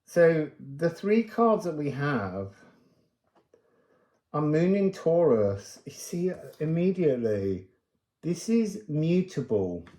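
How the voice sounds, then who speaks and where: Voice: medium pitch, big pitch swings, slow pace, dark tone. A card reader talking casually through a spiritual reading in a musing, digressive way with emphatic word stress, in a voice of unclear gender. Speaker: tarot and oracle card reader. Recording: roomy, echoing sound.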